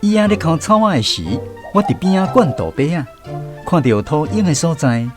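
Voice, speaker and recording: A man with a somewhat high voice narrates a radio drama in measured, storytelling tones. His pitch rises and falls in an animated way, and faint music plays underneath. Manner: measured storytelling, recalling childhood.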